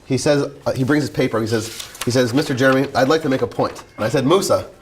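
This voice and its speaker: A man's voice: medium pitch, fast, a little hiss or hum. A young man in his twenties, a science teacher, speaking encouragingly with frequent restarts and fillers. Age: 20s. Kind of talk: interview and classroom talk.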